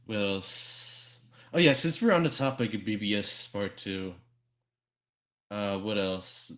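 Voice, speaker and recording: A man's voice: lowish voice, expressive, slow, fairly bright tone. Casual, sweary man hosting a podcast. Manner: informal, swears freely. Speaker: podcast host. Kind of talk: casual chat.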